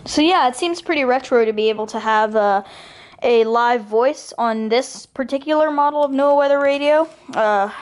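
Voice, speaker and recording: A woman talking casually and rambling through commentary as the host of a hobby video. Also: a little high.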